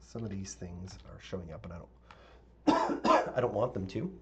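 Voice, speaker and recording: A male architect teaching a live software tutorial, talking casually with viewers and thinking aloud with fillers; his voice is a little raspy. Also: low-pitched, normal speed, background noise. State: slightly raspy voice, clearing throat.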